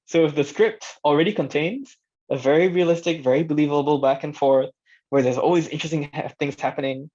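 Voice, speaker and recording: A man's voice: fairly high pitch, brisk, a little mellow. Conversational man presenting, slightly dull recording. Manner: conversational, thinking aloud, checks in with listeners. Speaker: workshop presenter, audio drama director. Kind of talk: informal workshop talk with demonstrated lines. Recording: dull, lo-fi band-limited sound.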